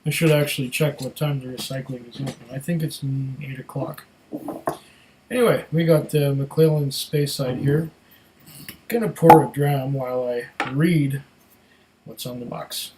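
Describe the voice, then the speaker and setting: A man's voice: mid-range voice, steady tone, normal speed, background noise. A man with a congested voice talks casually to his camera at home. He sounds tired and rambles with fillers between his thoughts. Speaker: whisky reviewer. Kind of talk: casual vlog chat.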